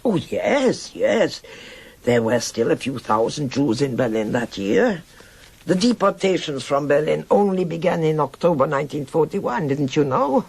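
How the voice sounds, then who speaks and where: An old woman of faded glamour in a radio drama. Her voice is gravelly and husky, swooping widely as she looks back with frank, worldly nostalgia. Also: low voice, big pitch swings, a little slow, darkish tone, heavily acted. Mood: nostalgic. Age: older. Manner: theatrical, rambling reminiscence with sighs and interjections.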